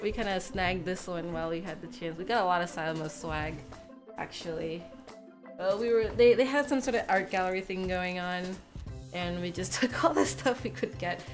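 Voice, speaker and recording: A sick young woman with a stuffy, nasal voice, chatting casually in a small room while unpacking a bag, rambling with lots of fillers. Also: medium pitch. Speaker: vlogger. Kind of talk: casual unboxing chat.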